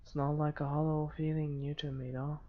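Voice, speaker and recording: A man hosting a gaming video, reading visual novel narration aloud in a halting way with stumbles. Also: a little high, flat delivery. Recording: dull lo-fi microphone, faint noise.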